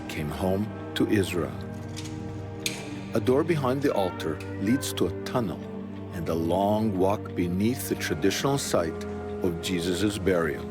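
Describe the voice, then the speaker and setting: A man narrates a documentary in a measured, earnest way, his voice sitting a little low. Clear music runs beneath his voice-over.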